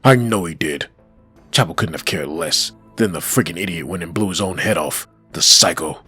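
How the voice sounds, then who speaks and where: A man acts out a brooding undead comic-book antihero. The voice is low and extremely rough and husky, grim in manner, with music underneath. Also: normal speed, bright, forceful tone, full character voice. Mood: bitter anger. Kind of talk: comic dub acting.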